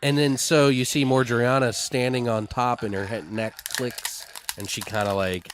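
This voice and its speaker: A man in a casual creative brainstorming chat, informal and sweary, thinking aloud in halting repetitions. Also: mid-range voice, steady tone, background noise. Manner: foul-mouthed, informal. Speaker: co-host brainstorming a horror audio drama.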